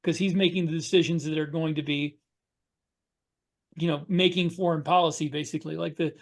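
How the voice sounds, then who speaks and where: A man with a rather high voice talks as a podcast panelist over a video call. He explains casually and a little haltingly. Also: fairly even intonation. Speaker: podcast panelist and sci-fi author.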